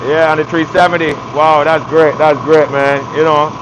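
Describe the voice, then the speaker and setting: A man's voice: fairly high pitch, level tone, normal speed, background noise. A man from Barbados with a Barbadian accent, chatting casually on a live stream while doing body work in an auto shop. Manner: laid-back, repeats "man" and "you know what I mean".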